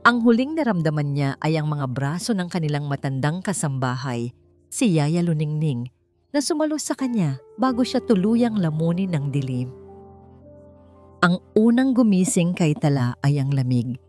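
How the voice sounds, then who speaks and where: A woman with a low voice narrates a romance drama over music. Her delivery is measured and melodramatic, in the manner of scripted storytelling. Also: lively intonation, a little mellow. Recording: music behind the voice. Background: background music.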